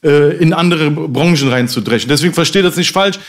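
A man's voice: a little high, a little fast. Hesitant, thoughtful man in casual talk. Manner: reflective, hesitant, restarts a lot. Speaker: rapper. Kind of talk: casual conversation.

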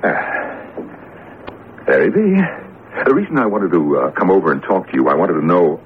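An actor playing a nervous, flustered professor and husband in a radio drama, speaking in a hesitant, excitable, stammering way. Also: male voice, low-pitched, measured pace, exaggerated voice. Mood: curious, wary. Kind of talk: vintage radio drama acting. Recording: muffled lo-fi radio broadcast, faint noise.

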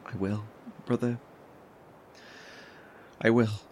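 An actor playing a weary, anxious survivor in a horror audio drama, speaking haltingly with sighs and nervous chuckles. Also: male voice, fairly low pitch, steady tone, a little mellow, slight background noise. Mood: tender, sad. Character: weary, anxious male survivor, wry and haunted.

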